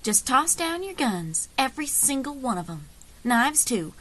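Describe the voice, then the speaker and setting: A woman playing a confident, folksy frontier leader in an audio drama, wry and commanding, with calm menace. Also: high voice, a little slow, exaggerated voice. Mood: firm, unflustered.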